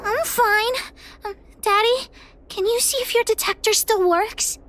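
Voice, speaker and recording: A woman's voice: extremely high pitch, a little slow, bright, forceful tone, exaggerated voice. A woman voicing an earnest, childlike little girl devoted to her daddy in a video game. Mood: tearful, guilty. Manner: speaks of herself in third person, childish.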